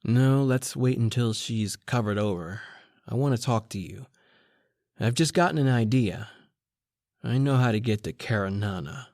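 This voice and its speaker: A man's voice: medium pitch, moderate pace, a little mellow, dry recording, lightly acted. Man as hard-boiled reporter, dry studio sound. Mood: resolved. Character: determined, hard-boiled male newspaper reporter narrating his story. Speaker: voice actor. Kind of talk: old-time radio noir narration and dialogue.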